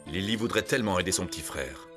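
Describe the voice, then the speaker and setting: A man narrating a scripted children's story in an expressive, storytelling way. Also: low-pitched, fast talker, music in the background.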